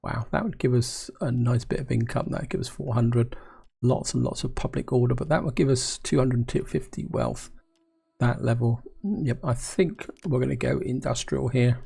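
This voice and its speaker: Man, hoarse from a cold, gravelly voice, dark mellow tone, thinking aloud, game commentary. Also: medium pitch, normal speed. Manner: musing, self-questioning, talks to viewers. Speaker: gameplay commentator.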